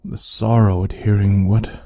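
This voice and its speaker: A man playing an obsessive, devoted butler in an ASMR roleplay, speaking formally and intimately in a soft, breathy, dark voice. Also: lowish voice, flat delivery, measured pace, no room sound, studio quality, close to an everyday voice. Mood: reverent sorrow. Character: devoted, obsessive yandere butler, tenderly protective of mistress. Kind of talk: ASMR audio roleplay acting.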